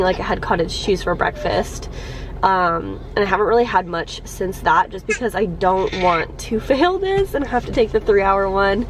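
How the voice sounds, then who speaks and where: A woman's voice: medium pitch, a little hiss or hum, rough recording. Congested woman chatting to her camera. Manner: chatty, many ums and likes, laughs a little. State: congested from a cold. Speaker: vlogger. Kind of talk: casual chat to camera. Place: parked car.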